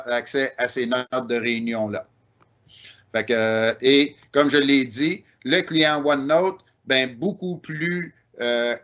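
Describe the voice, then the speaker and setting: A man's voice: fairly high pitch, fairly bright tone, dull audio. A man with a Québécois French accent giving an online technical presentation, explaining step by step with frequent "euh" and "ben" fillers.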